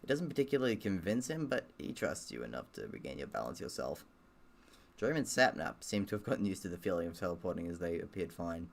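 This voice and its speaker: A man's voice: low voice, very animated, quick pace. A man reading a game aloud in a casual let's-play style, breaking off for self-deprecating, sweary asides.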